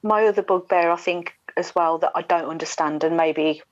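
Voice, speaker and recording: A woman's voice: lowish voice, fairly even intonation, moderate pace. A middle-aged woman, a radio presenter, chatting in an opinionated, emphatic way as an interview guest over a video call. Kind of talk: casual podcast interview chat. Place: small room indoors, video call. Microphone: wired earphone headset. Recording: dull, echoey video-call audio.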